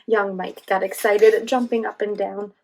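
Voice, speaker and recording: A woman reading a children's storybook aloud as host, pausing in a teacherly way to guide young listeners. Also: medium pitch, flat delivery, a little mellow, slight background noise. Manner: teacherly, asks listeners questions and guides them.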